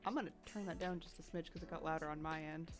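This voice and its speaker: A young woman with a slightly low voice chats on stream from her bedroom, sniffly from dust. She rambles and laughs as she talks, over faint background music. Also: fairly even intonation, quick pace. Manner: rambling, chatty, laughs often, reads chat aloud. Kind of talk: casual chat while drawing on stream. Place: home bedroom.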